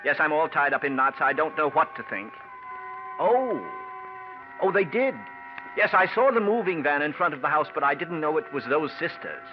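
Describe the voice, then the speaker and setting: An actor playing a scheming, bitter stage actor in an old radio drama, speaking with measured, falsely polite menace. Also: male voice, a little high, expressive, over music, natural voice. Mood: weary, tense. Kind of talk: 1940s-50s radio drama acting. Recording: muffled, noisy vintage radio recording.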